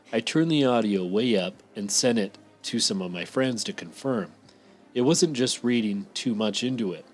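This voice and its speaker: A man with a somewhat low voice reads a listener's scary story aloud as a podcast co-host. Faint music plays quietly behind him. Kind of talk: reading stories aloud.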